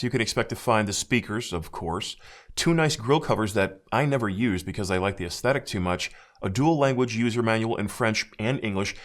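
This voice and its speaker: An American man narrating a scripted hi-fi speaker review in a measured, dryly self-deprecating way. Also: mid-range voice. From United States. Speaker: audio reviewer.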